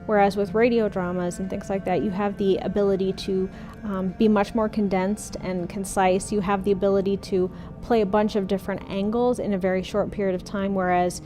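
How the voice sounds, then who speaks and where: Woman, mid-pitched, thoughtful interviewee with hesitant phrasing, faint music underneath. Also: flat delivery, brisk, warm tone. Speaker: amateur voice actor. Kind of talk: documentary interview.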